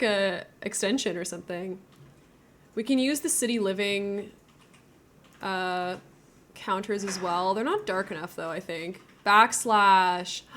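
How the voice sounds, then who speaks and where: A woman streams a building game and chats as she goes. She thinks out loud in a casual, giggly way, at a middling pitch. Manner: chatty, thinking aloud, giggly.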